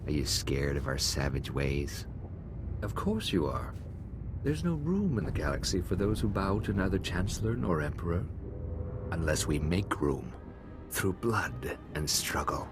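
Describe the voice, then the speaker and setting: An actor voicing a savage cult warlord in a video game, mocking and menacing in a theatrical way. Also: male voice, low-pitched, sing-song, a little slow, music in the background, full character voice. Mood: menacing, defiant.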